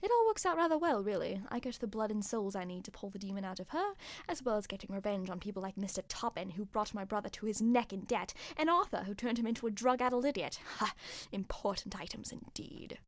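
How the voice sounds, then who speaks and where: A woman playing a smug, scheming dark-arts villainess in an amateur radio play, theatrical and blithely sardonic. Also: mid-range voice, lively intonation, fast talker, hardly any pauses, no room sound, natural voice. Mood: gloating.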